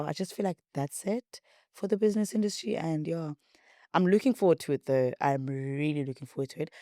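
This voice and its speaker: A young woman with a South African accent and a deep voice, hosting her podcast in a rambling, repetitive, chatty way. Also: warm tone, no room sound, clean studio sound. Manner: rambling, repetitive, chatty, says she talks a lot. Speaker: podcast host. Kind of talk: casual chat to viewers.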